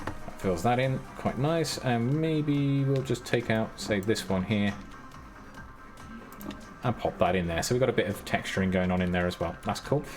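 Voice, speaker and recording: Male streamer, lowish voice, mumbles through each build step, music playing underneath. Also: moderate pace. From Britain. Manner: muttering step-by-step to himself, casual and self-mocking. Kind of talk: live-stream gameplay commentary. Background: his own background music.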